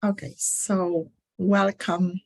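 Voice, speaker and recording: A woman's voice: on the low side, little pitch movement, vocal fry. Woman hosting an online talk. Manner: halting, apologetic restarts. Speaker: host, moderator. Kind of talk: introducing a speaker on a call. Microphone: video call.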